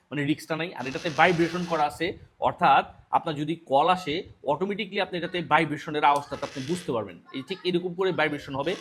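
A man with a Bangladeshi accent giving a promotional sales pitch as a product presenter for an online shop. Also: a bit bright, a little hiss or hum.

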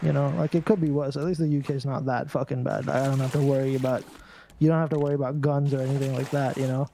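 Guy from singapore just chatting over a game, soft mellow voice, swears a bit, slight background noise. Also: medium pitch, flat delivery, fast, vocal fry. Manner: conversational, sweary. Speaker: co-player in a gaming stream. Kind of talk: casual chat over a game.

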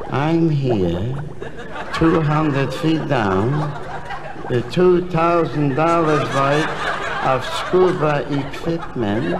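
An actor playing an anxious, thrifty, grumbling everyman in a comedy record sketch, with a deliberate delivery. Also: fairly high pitch, full character voice. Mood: astonished. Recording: noisy, reverberant old comedy record before audience.

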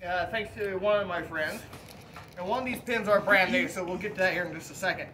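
A man's voice: high-pitched, a little fast, bright, forceful tone. A young man presenting a casual video as its host, recorded outdoors under an open pavilion from a camera a few metres away. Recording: far from mic, noisy open-air recording.

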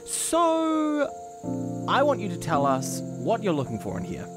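A man voicing an anxious, argumentative young cartoon cup boy in a comic dub, excitable and exasperated. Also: high voice, measured pace, heavily acted. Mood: reluctant. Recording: loud music behind.

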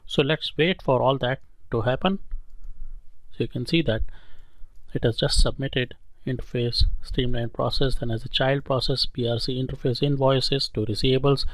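A man giving a step-by-step, explanatory voice-over as an instructor in a screen-recorded software tutorial. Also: medium pitch, steady tone, moderate pace, no echo, low-quality recording.

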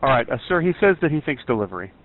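A man's voice: mid-range voice, very animated, rapid-fire. A middle-aged man with an American accent making a polite, straight-faced phone relay on a headset, in a muffled recording. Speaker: prank caller posing as translator. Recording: muffled, band-limited call recording.

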